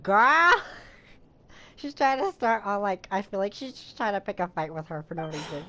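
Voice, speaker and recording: A woman's voice: medium pitch, big pitch swings, normal speed, sharp tone. A woman giving casual, chatty, informal commentary while playing a video game. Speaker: game streamer. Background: game music.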